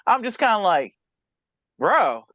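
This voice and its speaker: A man's voice: high-pitched, sing-song, takes it slowly, sharp tone, dry recording, highs cut off. A man on a podcast panel, joking and teasing in casual group banter.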